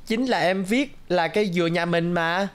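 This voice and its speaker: A woman's voice: on the low side, normal speed, smooth, natural voice. A woman voicing a sheepish little schoolboy in a cartoon, fretting to himself in a childlike, hesitant way. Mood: pleading. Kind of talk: cartoon dialogue and inner monologue.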